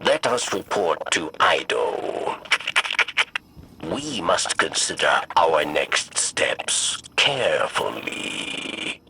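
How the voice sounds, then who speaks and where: An actor playing a grave, foreboding alien counselor in a video game, with solemn, measured, formal phrasing. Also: male voice, extremely low pitch, wildly swooping pitch, gravelly, background noise, heavily acted. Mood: grave, hopeful. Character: grave, foreboding alien ally, weary but hopeful counselor. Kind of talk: scripted video game dialogue.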